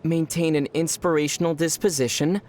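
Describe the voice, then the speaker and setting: A man playing a young traveler hero in a video game, calm and wry, with a dry, teasing manner. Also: on the high side, brisk, faint music behind, natural voice. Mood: calm, advising.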